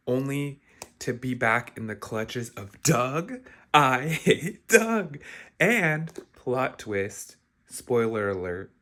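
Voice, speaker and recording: A man talking casually as a book vlogger, reacting in an animated, slangy, emphatic way. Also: medium pitch. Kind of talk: casual live reading-reaction vlog.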